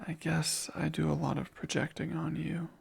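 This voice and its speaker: A man voicing a lonely, clingy male sleep paralysis demon in an ASMR roleplay, speaking in a soft, breathy whisper with slow, wistful musing. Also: a little high, level tone, heavily acted.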